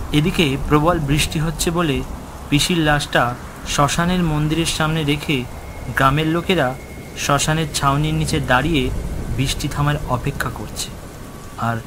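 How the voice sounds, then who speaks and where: A man narrating a scripted horror story dramatically, with suspenseful pauses between short phrases. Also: medium pitch, noisy background. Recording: music, rain and thunder behind voice.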